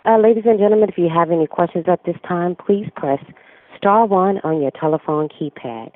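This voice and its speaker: A woman's voice: lowish voice, fairly quick. A woman acting as conference call operator, making formal scripted announcements. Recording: tinny telephone line.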